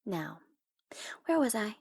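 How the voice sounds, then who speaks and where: A woman playing a teasing tsundere supervillain in an ASMR audio roleplay, condescending but easily flustered, sometimes slipping into soft whispers. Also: mid-range voice, big pitch swings, slow, gravelly, husky, dry recording, clean studio sound, heavily acted. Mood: gloating. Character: teasing, tsundere female supervillain flustered by her captive hero.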